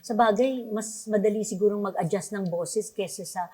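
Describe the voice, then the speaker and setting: A woman's voice: mid-range voice, steady tone, brisk, a little mellow, slightly rough. A woman with a Filipino accent hosting an interview in a conversational style. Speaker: interview host.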